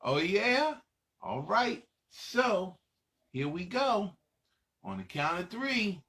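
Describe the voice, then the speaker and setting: A man's voice: high voice, big pitch swings, slow, fairly bright tone. A man hosting a children's show, talking to kids in a playful, encouraging way with repetitive, sing-song phrasing. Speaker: children's storytime host. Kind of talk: children's show presenting, leading exercises.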